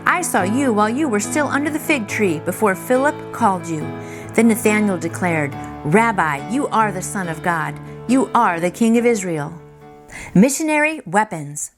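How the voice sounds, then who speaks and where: Devotional host: woman, mid-range voice, reads earnestly with friendly encouraging commentary, faint music underneath. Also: medium pitch. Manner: earnest, encouraging, conversational asides.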